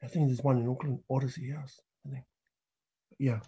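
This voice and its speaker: A man's voice: medium pitch, level tone, a little fast, mellow, husky. A man from Tokelau, giving a casual interview and hesitantly thinking aloud. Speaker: interview guest, musician and music teacher.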